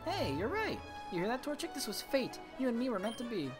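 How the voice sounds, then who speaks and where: A game player's perky, high-pitched teenage girl trainer. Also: very animated, fairly quick, music in the background, natural voice. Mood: excited. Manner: bubbly, gushing.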